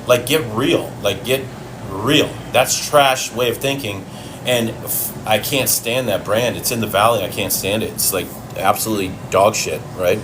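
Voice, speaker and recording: Blunt, low-voiced man from Chicago. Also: background noise. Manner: blunt, profane, talks while eating. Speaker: fitness livestream host. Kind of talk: casual livestream Q and A. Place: home.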